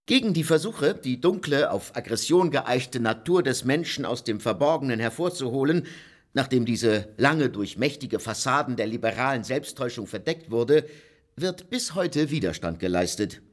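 An actor playing a legal theorist who lectures a circle of gentlemen, emphatic and insistent. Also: male voice, medium pitch, lively intonation, moderate pace, lightly acted. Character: lecturing jurist, cold and insistent. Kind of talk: radio play acting.

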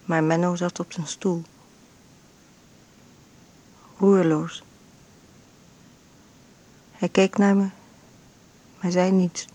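An actress playing a frail, ailing bedridden mother in an intimate radio drama monologue, breathy and often near a whisper, with halting short phrases. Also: lowish voice, mellow. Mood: intense, pleading. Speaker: actress narrating in a radio play.

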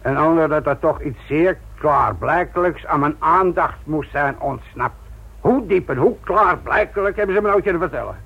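An actor playing a sardonic, gruff older police instructor in a Dutch radio play, patronising and deliberate in manner. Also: male voice, fairly high pitch. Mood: gruff, defensive. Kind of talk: Dutch radio drama acting. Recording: thin, narrow-band old radio recording.

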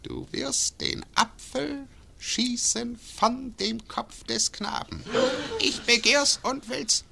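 An actor playing a cruel imperial bailiff in a classic radio play, cold, mocking and imperious. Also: male voice, very high voice, steady tone, slow pace, creaky, background noise, exaggerated voice. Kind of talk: classic radio drama acting.

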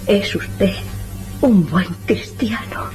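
An actress playing an anxious, pleading woman, halting and solemn, in an old-fashioned hospital radio melodrama. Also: mid-range voice, a little mellow, faint music behind. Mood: weak, troubled pleading. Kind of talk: old-fashioned Spanish radio serial acting.

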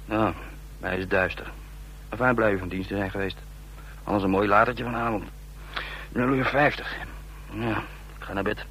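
An actor playing a breezy, cocky photographer and informant, chatting colloquially in a radio drama. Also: male voice, lowish voice, fairly even intonation, moderate pace, long pauses, fairly bright tone, close to an everyday voice. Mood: breezy, smug. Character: breezy, slangy male photographer working as informant. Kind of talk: radio drama acting, phone report. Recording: faintly noisy old radio recording.

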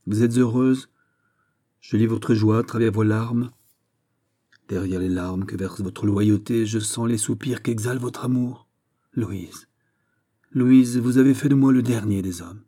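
A man playing a betrayed young gentleman lover, noble, bitter and heartbroken, with formal tragic delivery in a storybook reading. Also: medium pitch, fairly even intonation, measured pace, long pauses, close to an everyday voice. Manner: solemn, formal, grandly tragic.

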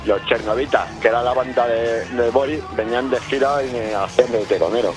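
An actor playing a band leader who speaks haltingly and trips over repeated words. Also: male voice, medium pitch, fairly even intonation, music in the background, close to an everyday voice. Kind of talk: mockumentary radio drama testimony.

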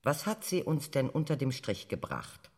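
Old sceptical statesman arguing in a radio play, raspy voice, big echoey hall. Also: medium pitch, huge pitch swings, moderate pace, darkish tone. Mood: argumentative. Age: older. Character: elder male public figure, combative sceptical debater. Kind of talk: radio drama acting, televised debate. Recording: long hall reverb, played-back debate recording.

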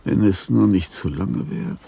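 An actor playing a lonely, dying old composer in a radio drama, tender and confused, with a husky, halting delivery that swings between whispers and cries. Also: male voice, low voice, warm tone, full character voice. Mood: solemn, murmuring. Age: older. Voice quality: gravelly, husky, breathy. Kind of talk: German radio drama acting.

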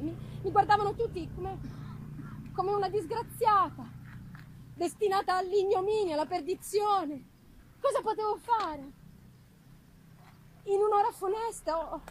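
A woman voicing an anguished, condemned young servant woman in a dramatic reading, halting and pleading. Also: very high voice, slight background noise, rough recording. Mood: pleading, despairing. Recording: live music behind.

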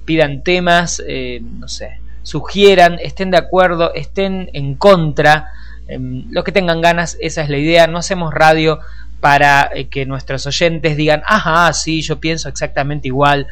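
A man's voice: on the high side, measured pace, fairly bright tone. An Argentine man presenting a radio programme in a conversational, discursive way, speaking directly to listeners. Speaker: radio host and journalist.

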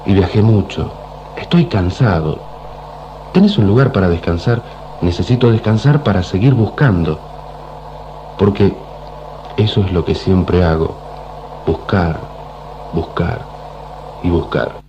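An actor playing a weary, enigmatic time traveler, telling his story gravely and measuredly in a radio drama. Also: deep voice, unhurried, pauses often, mellow, lo-fi sound, a little hiss or hum.

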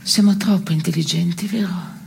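An actress playing a scornful, morose woman in an absurdist stage play, gravelly and often hushed, speaking in a dry, deliberate way. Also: on the low side, steady tone, a little hiss or hum. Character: scornful, morose woman brooding beside her male partner. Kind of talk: absurdist stage theatre dialogue and monologue.

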